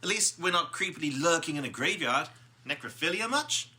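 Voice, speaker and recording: A woman playing an excitable, boastful teenage boy prankster in a dramatic comic reading. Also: deep voice, fairly quick. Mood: cocky, playful. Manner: boastful, exuberant.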